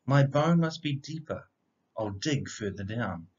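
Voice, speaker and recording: A man reads as a shrewd, hungry storybook dog. He speaks courteously and plainly, with lively swings of pitch and an unhurried pace, on a slightly dull recording. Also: breathy edge. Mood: apologetic, frowning. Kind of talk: children's storybook read-aloud.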